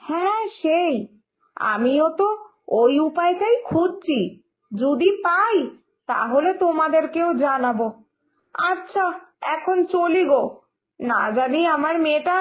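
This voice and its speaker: A woman's voice: sits high, rough recording. A woman voicing an anxious village mother chatting as a neighbour in a cartoon moral story, fretting as she talks.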